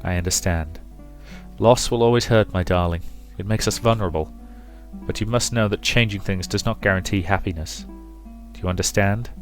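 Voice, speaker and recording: A man voicing a serene, loving mother in a visual novel, calm, gentle and soothing. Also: fairly low pitch, moderate pace, soft background music, natural voice. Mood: serene, consoling.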